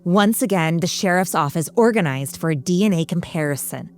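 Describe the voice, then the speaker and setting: A woman hosting and narrating a true-crime podcast from a script, with measured, dramatic storyteller phrasing. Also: fairly low pitch, expressive, faint music behind.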